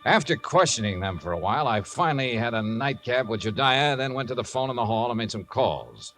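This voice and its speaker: A man's voice: medium pitch, sing-song, a little fast, music in the background. An actor playing a wry male investigator narrating a 1950s radio drama, dry in manner. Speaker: narrating detective.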